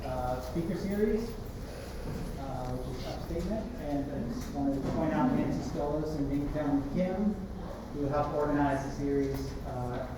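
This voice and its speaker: A man on the faculty introducing a guest speaker in a lecture room, talking loosely with ums and uhs, recorded from afar with no microphone. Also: on the high side. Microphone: no mic, recorded from afar on a laptop. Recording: echoey room from far off, noisy.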